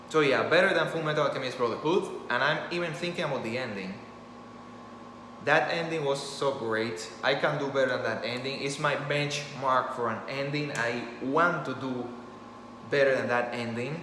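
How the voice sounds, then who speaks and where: Guy whose first language is spanish rambling into his phone, lots of restarts, echoey roomy sound, noise in the background. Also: medium pitch. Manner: rambling, earnest, many restarts. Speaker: storyteller channel host. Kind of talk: impromptu talk to camera.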